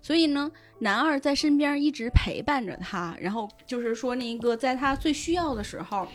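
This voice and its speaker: A woman explaining things in animated casual chat as a guest on a talk show. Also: sits high, normal speed. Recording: background music under the voice.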